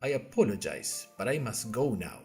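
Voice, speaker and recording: A man voicing a mysterious, formal gentleman with a thick accent who speaks in a clipped, cryptic way in an audio drama. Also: medium pitch, expressive, normal speed, over loud music, close to an everyday voice. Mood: polite, aloof. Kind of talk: audio fiction character dialogue.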